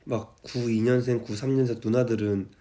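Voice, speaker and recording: Young man, mid-pitched voice, tipsy and sleepy, easygoing late-night livestream chat from home. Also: normal speed. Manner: relaxed, drowsy and soft-spoken, reading viewer comments. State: tipsy and drowsy. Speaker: idol livestreamer. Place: at home, indoors. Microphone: phone livestream.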